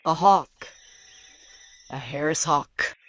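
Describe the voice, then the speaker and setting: A woman's voice: low-pitched, takes it slowly, a bit bright, a little hiss or hum. A woman playing an enigmatic falconer in a crime audio drama, speaking in a cool, cryptic, understated way. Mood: terse, dry.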